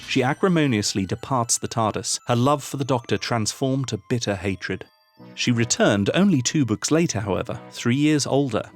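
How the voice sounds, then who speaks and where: A man narrating a scripted analytical video essay in a formal, measured, literary manner. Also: on the low side.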